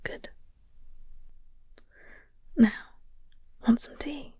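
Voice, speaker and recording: A woman playing a flirty, tender English coworker in an intimate audio roleplay, speaking softly and breathily with a gentle, halting, genteel manner. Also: no room sound, in a whisper, studio quality. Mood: tender, soothing. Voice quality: soft, breathy. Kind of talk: intimate audio roleplay, soft comforting ASMR acting.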